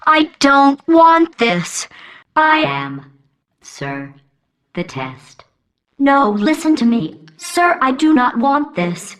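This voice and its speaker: A woman's voice: sits high, sing-song, slow, a bit bright, full character voice. A woman playing a terrified assistant who pleads against a forced experiment, in a game audio log. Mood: panicked.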